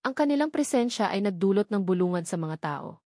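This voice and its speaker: A Filipino woman narrates a scripted drama in a mid-pitched voice. The recording is dry and close, with no room around the words. She delivers it as a storyteller reading prepared text. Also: animated, fairly quick, dark tone, no room sound.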